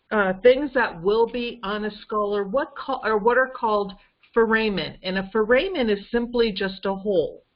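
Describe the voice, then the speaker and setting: A woman with a mid-pitched voice speaks conversationally, explaining details with insistence and emphasis. She is on a conference call, and the sound is slightly dull and lo-fi. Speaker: guest on a case-discussion call.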